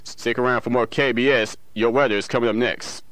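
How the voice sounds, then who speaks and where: A man's voice: mid-range voice, steady tone, brisk, fairly bright tone, dry recording. A man working as a radio newsreader and DJ, reading in a local broadcast style with occasional slips and self-corrections. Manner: stumbles and corrects himself while reading. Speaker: radio newsreader and music show DJ. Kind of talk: local radio news, sports and weather reading. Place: radio studio.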